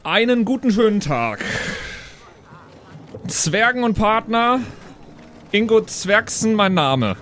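A pompous dwarf passing himself off as a lawyer, acted out by a man, pitched well above a normal speaking voice. The speech is stilted and halting, full of affected formality and indignation, over audible background noise in a role-playing audio play. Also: big pitch swings, fairly bright tone, full character voice. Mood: stiffly formal.

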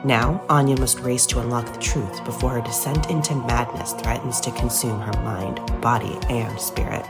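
A woman's voice: a bass voice, steady tone, normal speed, few pauses. A woman reading a scripted horror movie trailer in a dramatic, ominous way. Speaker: trailer announcer. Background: loud music.

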